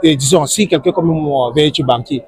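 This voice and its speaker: A man's voice: fairly high pitch, moderate pace, a little mellow, husky, no room sound. A man hosting a casual street interview, asking wordy questions dotted with tag questions. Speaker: interviewer and video host.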